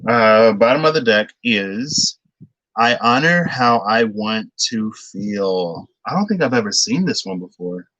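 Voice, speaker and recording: Young man, tarot reader, chatty, rambling, slightly low voice, dry close sound. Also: expressive, measured pace, fairly bright tone, no room sound, lo-fi sound. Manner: rambling, chatty, laughs often. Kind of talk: casual livestream tarot reading.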